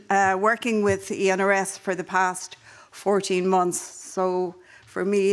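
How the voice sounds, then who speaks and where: An Irish-accented woman opens a conference session as its moderator. She speaks in a measured, reflective manner, often pausing partway through a phrase. Her pitch sits in the middle range for a woman. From Ireland. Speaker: panel moderator. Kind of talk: introductory remarks at a conference.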